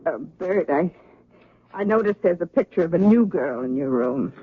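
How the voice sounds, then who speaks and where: A woman's voice: on the low side, animated, normal speed, slightly rough, dry recording. An actress playing a middle-aged, disapproving mother in a 1970s radio drama, cool, probing and faintly menacing. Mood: cold suspicion. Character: prying, disapproving, menacing mother of grown son. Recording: tinny old radio recording.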